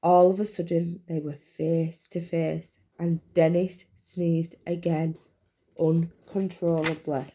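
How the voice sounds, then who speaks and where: Woman, slightly low voice, children's story narration, reading aloud. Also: little pitch movement, slow pace, dark tone, slightly rough. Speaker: reader, teacher.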